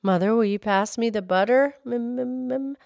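A rude little storybook boy who barks out his demands, as a woman puts him on. The voice is high, blunt and bossy. It is recorded dry and close. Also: normal speed, creaky, darkish tone, no room sound. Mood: demanding.

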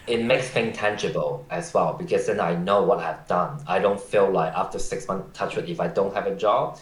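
Guy, lowish voice, giving blunt job tips, kinda echoey room. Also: normal speed. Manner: direct, advice-giving, loose grammar. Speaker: podcast guest. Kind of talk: casual conversation.

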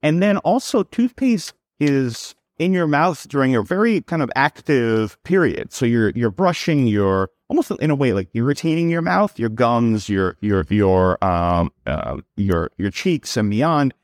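A middle-aged man tells his founder story in a steady, mid-pitched voice, picking his words as he goes and repeating a few of them. The sound is dry, with no room echo around him. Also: measured pace, hardly any pauses. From born in Serbia, raised in New York. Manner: fluent storytelling with frequent word repeats. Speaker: company founder, guest. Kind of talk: podcast interview answers.

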